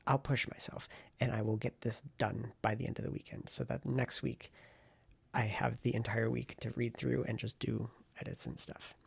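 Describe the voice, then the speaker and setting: A man with a heavily fried, husky voice talks in a loose, conversational vlog style. He thinks aloud, circling back over his points in a self-reflective ramble. The sound is dry, with very little room around the voice. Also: medium pitch, little pitch movement, moderate pace, no echo. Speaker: writing vlogger and novelist. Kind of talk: casual talking-head vlog.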